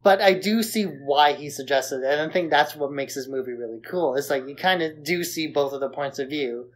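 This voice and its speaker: A man's voice: fairly high pitch, a little fast. A man co-hosting a podcast, discussing films in a casual, conversational style. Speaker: podcast co-host.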